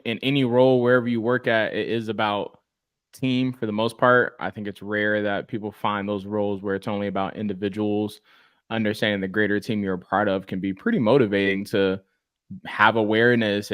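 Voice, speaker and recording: A man's voice: on the low side, no room sound. Man hosting, dry close sound. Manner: affirming, encouraging. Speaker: podcast host, interviewer. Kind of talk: casual interview hosting.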